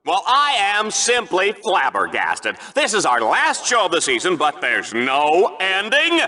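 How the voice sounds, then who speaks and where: A flamboyant video game bloodsport host with a piercing, very bright voice. He pitches high and swings wildly up and down. Every line comes out as bombastic, showy sports hype with a smarmy streak. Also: huge pitch swings, unhurried, exaggerated voice. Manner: bombastic, showy announcer delivery. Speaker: game show commentator. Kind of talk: over-the-top sports commentary.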